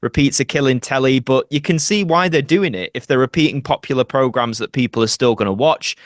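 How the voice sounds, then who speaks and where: A man's voice: medium pitch, fairly quick, no echo. British man chatting in a close, dry recording. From United Kingdom. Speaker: podcast guest, actor. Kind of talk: casual podcast conversation.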